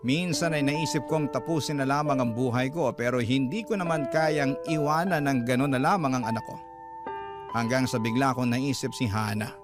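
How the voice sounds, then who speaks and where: A man's voice: medium pitch, natural voice. A man narrating as a remorseful young father, confessing his story earnestly in a radio drama. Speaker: letter sender narrating. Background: music.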